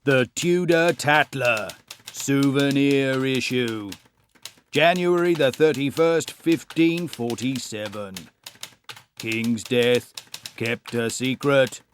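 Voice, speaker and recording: A man playing a portentous Tudor newspaper headline announcer in an audiobook, speaking in a deliberate, declamatory way. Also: mid-range voice, lightly acted. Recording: clattering typewriter noise. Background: typewriter clacking.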